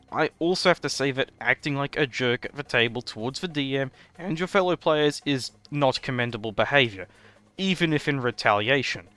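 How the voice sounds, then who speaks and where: A man hosting a scripted commentary in a formal, wordy manner with measured phrasing. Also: mid-range voice, bright, forceful tone. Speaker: host of a tabletop roleplaying commentary show. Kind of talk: scripted video essay commentary. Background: background music.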